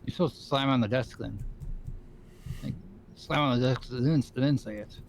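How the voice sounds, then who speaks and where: Guy doing a confused pushy dude in game rp, gravelly, faint noise behind. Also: mid-range voice, moderate pace, lightly acted. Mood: curious. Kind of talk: improvised online game roleplay.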